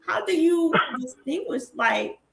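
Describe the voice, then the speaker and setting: A woman in her forties co-hosting a podcast, chatting casually in an animated, informal, slangy way. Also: high voice, unhurried. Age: 40s. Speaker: podcast co-host. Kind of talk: casual chat.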